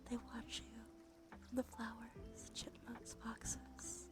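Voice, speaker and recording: Whispering woman, slow ASMR guide over music. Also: on the high side. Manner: slow, soothing, gently coaxing. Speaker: ASMR streamer. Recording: music behind the voice.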